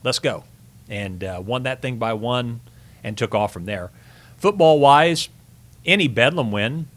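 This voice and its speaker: A man's voice: mid-range voice, expressive, unhurried, fairly bright tone. A middle-aged man speaking as an interview guest, telling sports stories casually with reflective, emphatic phrasing. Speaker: sports broadcaster, podcast guest.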